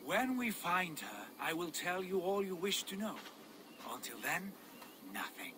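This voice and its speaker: An actor playing a proud, secretive Norse jarl in a video game, commanding and insistent. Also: male voice, high-pitched, big pitch swings, measured pace, noisy background. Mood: resolute.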